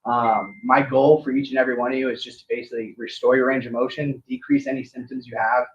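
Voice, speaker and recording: Young guy chatting to a crowd about his practice, laid back, sounds far off and muffled. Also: fast talker. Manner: relaxed, friendly, informal pitch. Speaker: chiropractor. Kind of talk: casual speech to an audience. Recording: distant, dull event audio.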